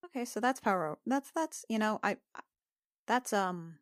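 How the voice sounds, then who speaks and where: A woman playing an earnest, shaken female healer in a tabletop game, talking in a halting, insistent, anxious way. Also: mid-range voice, animated, no room sound, clean studio sound, natural voice.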